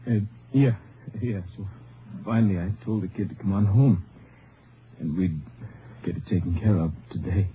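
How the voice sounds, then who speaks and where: An actor playing a gravely wounded, grieving deputy sheriff, speaking weakly and haltingly in an old radio drama. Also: male voice, deep voice, mellow, natural voice. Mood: weak, dazed. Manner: halting, plain-spoken, folksy. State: weak, in pain. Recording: faintly noisy old radio recording.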